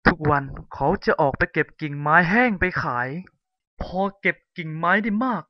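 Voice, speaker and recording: A storyteller narrating a cartoon folk tale in a lively, expressive way. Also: mid-range voice, moderate pace, no room sound, muffled recording.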